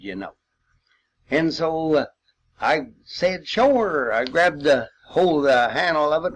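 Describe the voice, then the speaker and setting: An older man from Missouri with a rural Missouri accent, reminiscing as a war veteran in a folksy, halting, rambling way. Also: fairly high pitch. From Missouri, United States. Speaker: World War II veteran storyteller.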